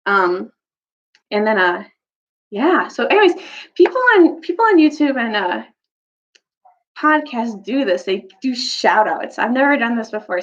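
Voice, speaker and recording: Creaky-voiced woman storyteller, informal and halting. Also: mid-range voice. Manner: halting, informal, self-interrupting. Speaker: teacher and storyteller. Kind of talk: casual chatty storytime, reading a story aloud. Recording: dull lo-fi recording.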